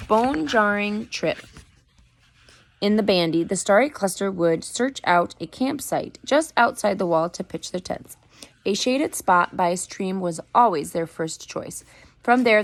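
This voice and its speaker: A woman reading a book aloud in a deliberate storytelling manner, with an occasional stumble over the words. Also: medium pitch, gravelly, slight background noise. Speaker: reader. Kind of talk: book read-aloud narration.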